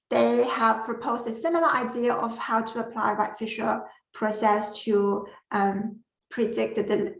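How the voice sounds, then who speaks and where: A woman whose first language is not English, giving an academic masterclass presentation with careful explanations and frequent pauses. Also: on the high side, a bit bright, roomy sound, poor audio. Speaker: lecturer.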